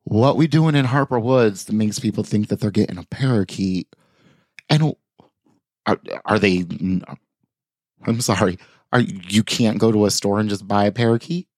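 Animated low-voiced man, dry close mic. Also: moderate pace, no echo. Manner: animated, laughs often, acts out silly voices. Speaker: podcast co-host. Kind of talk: casual comedic chat.

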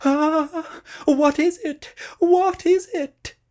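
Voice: medium pitch, steady tone, a little slow, pitched above the performer's own voice, lightly acted. A narrator voicing Francesca, a dramatic young ewe who loves to decorate herself, gushing and tearfully pleading. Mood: alarmed.

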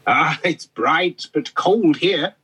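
A man playing an eccentric half-Swedish furniture professor, speaking in a husky, theatrical way as a guest on a comedy podcast. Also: fairly high pitch, animated, takes it slowly, pushed and bright, heavily acted. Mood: pompous. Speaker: guest expert.

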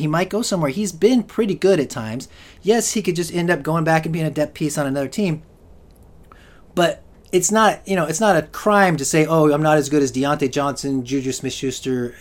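A man hosting a solo fantasy football podcast, rambling with lots of restarts and fillers, and he is losing his voice. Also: fairly high pitch. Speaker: podcast host.

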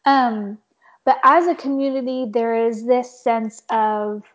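A young woman hosting a casual conversation, a little hoarse, saying "like" often with an uneven pace. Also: a little high, steady tone, takes it slowly. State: slightly hoarse. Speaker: podcast host. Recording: dull lo-fi sound.

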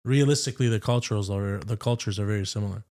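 A man from Canada hosting a podcast, upbeat and hyping up his guest with quick questions. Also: lowish voice, a little mellow. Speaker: podcast host. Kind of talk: casual interview chat.

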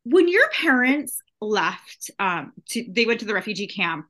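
A woman's voice: mid-range voice, very animated, measured pace, pushed and bright. A woman interviewing a guest, asking questions with some restarts and giving short friendly replies. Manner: friendly, brief backchannels, hesitant questions.

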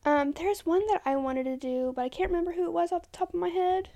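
Podcast co-host, woman; high gravelly voice, casual delivery, reads from notes and trips over names. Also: quick pace, mellow. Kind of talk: casual chat reading history notes.

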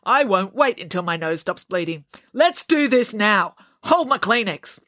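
A woman's voice: mid-range voice, animated, unhurried, fairly bright tone, no room sound, studio quality, pitched above the performer's own voice. A woman voicing a skinny, angry twelve-year-old girl with a nasal voice and a defiant, combative manner.

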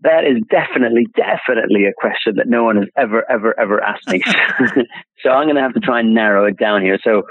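A man's voice: mid-range voice, quick pace, no echo. A man being interviewed as a classic car dealer, rambling with many restarts and repeated words. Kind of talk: casual interview chat. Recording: tinny phone-line audio, dry.

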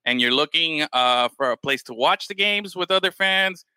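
A man's voice: on the high side, animated, normal speed, pushed and bright, clean tone, no echo. A man hosting a casual podcast, talking loosely and peppering his talk with "man" and "bro". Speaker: podcast host. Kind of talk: casual chat.

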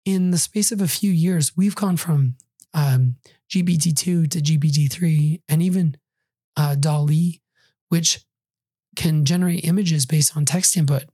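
Middle-aged male podcast host, hoarse from a lingering cold; husky, dark tone; casual wry delivery. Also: fairly high pitch, no room sound. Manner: conversational with wry asides. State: hoarse, recovering from a cold and flu. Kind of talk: solo podcast monologue.

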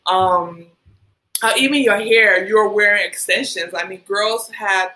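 A woman's voice: medium pitch, measured pace, sharp tone, poor audio. A woman who grew up in Liberia, a hair stylist as guest on a podcast, talking casually with many ums and likes. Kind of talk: casual interview.

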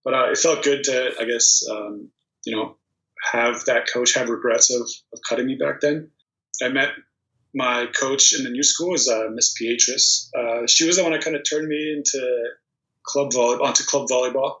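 A man's voice: mid-range voice, moderate pace. A man in his thirties, originally from Poland, talking casually as an interview guest with plenty of ums and restarts. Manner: relaxed storytelling with many ums and restarts. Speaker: guest, volleyball player. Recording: dull, echoey remote recording.

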